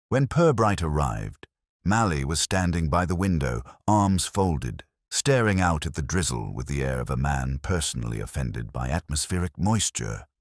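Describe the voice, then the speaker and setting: A man narrating a scripted story in a dry, wry, measured storytelling manner. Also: deep voice, no echo.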